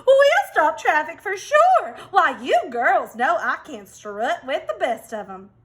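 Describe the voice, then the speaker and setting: A woman's voice: high voice, sing-song, measured pace, voice pushed up. A woman voicing vain, indignant, gossipy hens in a children's storybook, with a theatrical, exclamatory manner. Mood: excited, preening.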